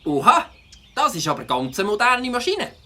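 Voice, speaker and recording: Animated, eager young cartoon boy. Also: medium pitch, normal speed, slight background noise. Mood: playful. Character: enthusiastic, trusting young boy, best pal. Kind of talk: cartoon character acting.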